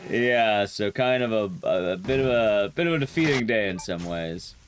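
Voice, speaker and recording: A young man with a loud, carrying voice chatting casually with his viewers while streaming a game, rambling and restarting sentences as he goes. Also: moderate pace, noisy background. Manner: rambling, restarts sentences, lively rises and drops in pitch. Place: his room at home, soundproofed.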